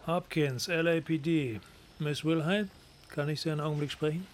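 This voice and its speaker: An actor in a German radio crime drama playing a tough, cynical police detective, blunt, terse and bullying. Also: male voice, fairly high pitch, fairly even intonation, moderate pace, very noisy, lightly acted. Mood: probing. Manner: blunt, terse, hard-boiled.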